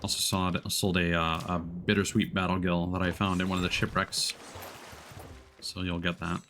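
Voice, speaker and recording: A man casually explaining game mechanics on a gaming stream in a halting, rambling way. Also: deep voice, level tone, bright, forceful tone, slight background noise.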